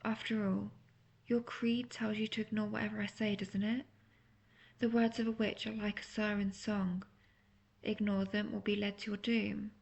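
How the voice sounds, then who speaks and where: A woman playing a manipulative, seductive imprisoned witch in a fantasy audio roleplay, speaking intimately in a breathy near-whisper. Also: medium pitch, level tone, normal speed, darkish tone. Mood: timid, secretive.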